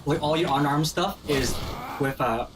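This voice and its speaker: A man's voice: fairly high pitch, moderate pace, music in the background. A young man giving casual, opinionated gameplay commentary to friends, often checking in as he explains. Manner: opinionated, often tags phrases with "right?". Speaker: game commentator guiding friends. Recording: distant mic, noisy room.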